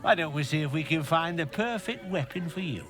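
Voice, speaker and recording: An actor voicing a sinister male weapon merchant who talks in a smooth, insinuating, unhurried way. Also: a little high, lively intonation, fairly bright tone. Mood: welcoming. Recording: game audio with music underneath.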